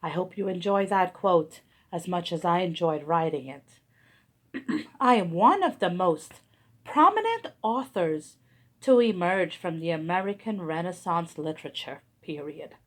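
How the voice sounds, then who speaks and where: A woman playing a stately 19th-century male author in a school skit, speaking slowly in a lowered voice. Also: animated. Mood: solemn.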